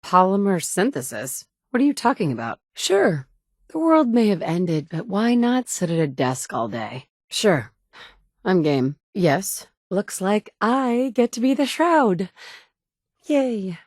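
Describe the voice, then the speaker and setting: A woman's voice: medium pitch, big pitch swings, slow, darkish tone, no echo, clean studio sound. A woman voicing a determined young mother who searches a ruined world for her kidnapped son, blunt and dryly sarcastic, recorded as video game dialogue. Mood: sardonic. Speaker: player character voice actress. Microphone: studio mic.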